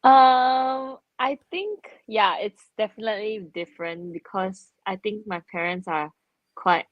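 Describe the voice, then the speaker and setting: A young woman from Singapore answering interview questions casually and softly, saying "like" often, over a video call. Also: mid-range voice, a little slow, no room sound, highs cut off. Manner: soft-spoken, casual, says "like" often. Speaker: athlete guest.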